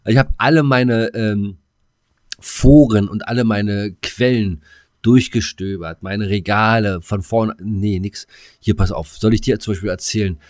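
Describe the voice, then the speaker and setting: A man co-hosting a podcast in casual, joking chat, rambling playfully and haltingly. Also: on the low side, darkish tone, no echo, studio quality. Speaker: podcast co-host.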